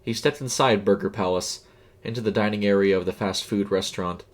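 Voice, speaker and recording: Male audio story narrator, lower-pitched, scripted fiction read. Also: steady tone, brisk.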